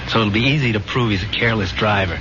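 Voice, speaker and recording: Man acting cocky, low-voiced radio drama hell-raiser. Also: fast talker, background noise, lightly acted. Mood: cocky, insistent. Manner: slangy, self-assured. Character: brash, cocky, restless hell-raiser, an otherworldly messenger of death. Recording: dull old radio broadcast with loud music.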